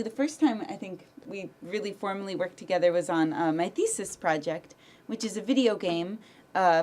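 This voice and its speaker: A woman's voice: mid-range voice, darkish tone. A bubbly woman in her twenties, a voice actor, chatting as a guest and laughing often. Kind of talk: casual chat.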